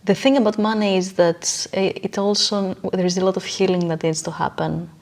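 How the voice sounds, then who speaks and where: A woman's voice: medium pitch, fairly even intonation, fairly quick, a little mellow. A woman speaking as a podcast guest, explaining her views emphatically in a casual conversational way.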